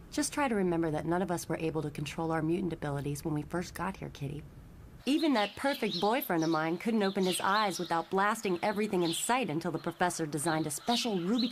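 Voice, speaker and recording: A knowing young woman guide, acted by a woman, with a fairly low voice. She explains things in a friendly, matter-of-fact chat, as in an animated comic. Faint rumbling noise lies underneath. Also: natural voice. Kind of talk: expository motion comic voice acting.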